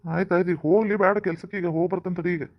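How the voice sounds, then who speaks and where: A woman's voice: on the low side, steady tone, very fast, highs cut off, voice pushed down, close to an everyday voice. A woman voicing a dutiful, placating adult son in an amateur cartoon, with a North Karnataka accent. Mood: brisk, placating. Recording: noisy, clicky home recording.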